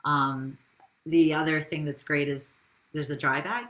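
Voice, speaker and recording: A woman's voice: sits low, steady tone, a little slow, a bit bright. A woman speaking as a business founder in an interview, telling her story in a conversational way with rhetorical questions.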